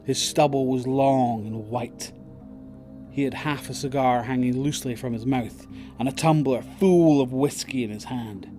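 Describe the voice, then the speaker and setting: A man's voice: medium pitch, moderate pace, over music. A man narrating a scripted audio drama in storytelling prose.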